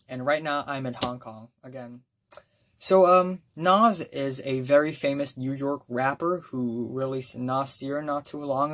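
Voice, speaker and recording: A young man with a voice gone raspy from talking, giving a casual, excitable album review full of fillers and bursts of enthusiasm. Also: medium pitch. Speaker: music reviewer.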